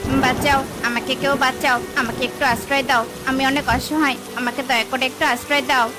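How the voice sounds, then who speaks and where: A woman's voice: high-pitched, fairly even intonation, normal speed, close to an everyday voice. A woman voicing a small, helpless baby rabbit who begs for shelter in a cartoon, with music behind her. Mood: pleading. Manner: pleading, helpless. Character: small frightened baby rabbit, secretly a fairy.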